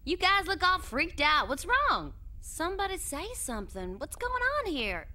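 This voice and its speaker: A woman's voice: sits high, wildly swooping pitch, normal speed, bright, forceful tone, heavily acted. A woman playing a fiery, defiant young androgynous alien in an English anime dub. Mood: defiant, irritated. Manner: brash, defiant. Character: fiery young androgynous alien who insists on being male. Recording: music behind the dialogue.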